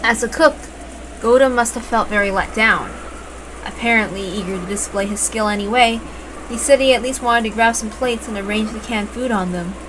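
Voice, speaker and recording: A woman reading a visual novel aloud as expressive first-person story narration, with emphatic openings to phrases. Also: a little high, moderate pace. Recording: noticeable background noise.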